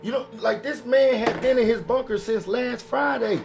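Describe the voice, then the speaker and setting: A man's voice: very high voice, moderate pace, vocal fry, over music. A man chatting casually on a podcast, blunt and impassioned, using slang and repeating himself as he argues. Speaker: podcast panelist. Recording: faint background noise.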